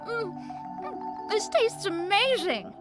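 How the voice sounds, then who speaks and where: A woman's voice: extremely high pitch, animated, takes it slowly, loud music behind the voice, heavily acted. A woman voicing a dainty, self-possessed young girl in a game cutscene, with a theatrical delivery. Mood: hungry, delighted. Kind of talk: English game dub cutscene acting.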